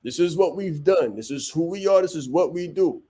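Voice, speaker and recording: Blunt, preachy man with raised pitch. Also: normal speed, warm tone, husky. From Vietnam. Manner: blunt, preachy, punchy repetition. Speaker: podcast host and business coach. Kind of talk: motivational monologue.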